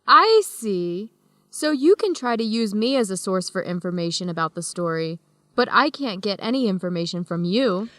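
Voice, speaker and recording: A woman's voice: mid-range voice, lively intonation, normal speed, a bit bright, no room sound, lightly acted. An actress playing a friendly, easygoing young woman student traveler in a radio drama for English learners. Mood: amused. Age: young adult.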